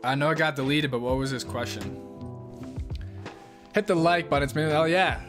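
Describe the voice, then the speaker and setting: A young man hosting a live stream, reading chat and answering in a relaxed, casual way, laughing often and swearing now and then. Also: on the high side, moderate pace, music in the background. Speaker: livestream host reading chat.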